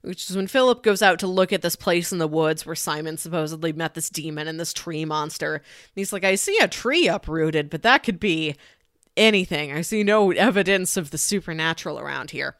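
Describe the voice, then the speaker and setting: A woman's voice: low voice, a little fast, fairly bright tone, no room sound, clean studio sound. A woman co-hosting a podcast, chatting casually about a film and acting out bits of the story as she retells it. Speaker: podcast co-host.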